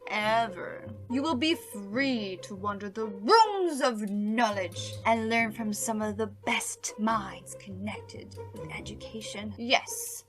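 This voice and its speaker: A woman voicing an earnest, persuasive talking pill in a radio play, coaxing with deliberate phrasing. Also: fairly high pitch, expressive. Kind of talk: dramatic radio play acting. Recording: music behind the voice.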